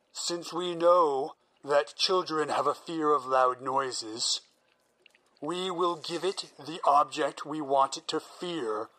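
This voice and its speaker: A man's voice: on the high side, a bit bright, low-quality recording. An actor playing a single-minded male scientist who explains his plans in an earnest, persuasive way. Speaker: researching psychologist. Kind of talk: audio drama acting.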